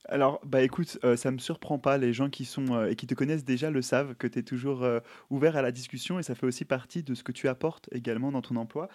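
A man's voice: mid-range voice, fairly even intonation, fast talker, hardly any pauses, darkish tone. A man hosting a livestreamed interview, speaking with enthusiasm and many hesitations. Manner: enthusiastic, many fillers and restarts. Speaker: host.

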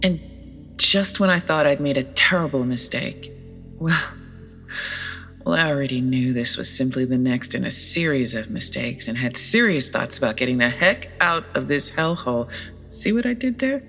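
An actress playing a sardonic, foul-mouthed traveler stranded in an apocalypse, confiding in a comedic audio drama monologue. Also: female voice, a bass voice, animated, moderate pace, fairly bright tone, faint music behind, full character voice.

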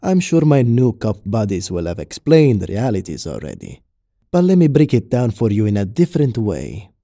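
A menacing male gangster played by an actor in a crime audio drama. His tone is deep-shaded and velvety, with very animated pitch. He taunts and persuades, dropping in Spanish words, recorded close and dry. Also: moderate pace, gravelly, no room sound, full character voice.

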